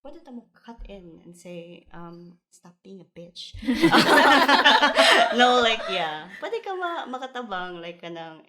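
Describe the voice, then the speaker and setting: A woman with a Cebuano accent talks casually over a call, her voice bright and swinging widely in pitch. She slips between English and Cebuano and laughs easily. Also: sing-song, takes it slowly, few pauses. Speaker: podcast guest on a call. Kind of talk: casual chat. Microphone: video call.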